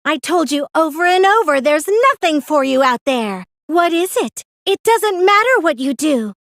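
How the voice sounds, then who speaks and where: A woman's voice: high voice, big pitch swings, normal speed, a bit bright, no echo, studio quality, exaggerated voice. A woman playing a girlish, smug mastermind in an English anime-style game dub, cheerfully menacing, theatrical and taunting as she insists on her point. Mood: dismissive, grim. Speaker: video game voice actor.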